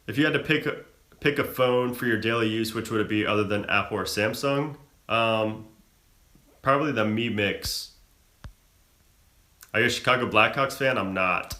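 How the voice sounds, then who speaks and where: Young male streamer, lowish voice, bright forceful tone, echoey room, reads chat questions then answers. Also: normal speed, long pauses. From American South. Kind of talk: casual live Q&A chat.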